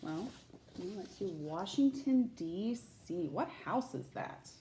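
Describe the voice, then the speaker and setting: A woman reads a storybook aloud as a teacher would, with very animated pitch. She speaks to young listeners and invites them to wonder along. The room around her voice is noticeably echoey. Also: slow, slight background noise. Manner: addresses young listeners with questions. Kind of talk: expressive read-aloud of a children's picture book with chatty asides.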